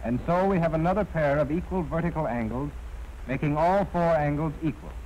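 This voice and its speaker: An American man reading scripted instructional narration in a formal, measured, precisely enunciated way. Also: a little high, darkish tone. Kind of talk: scripted training film narration. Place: narration recorded for an archival film. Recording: dull, noisy 1940s film soundtrack.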